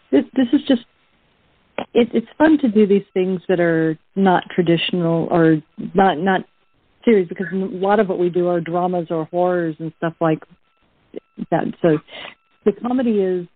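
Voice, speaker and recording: A woman's voice: lowish voice, dry recording. A woman in her early fifties chatting casually as an interviewed actor, stammering and repeating words as she goes. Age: early 50s. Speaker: radio drama actor being interviewed. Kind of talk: casual chat. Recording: dry, tinny phone-like band.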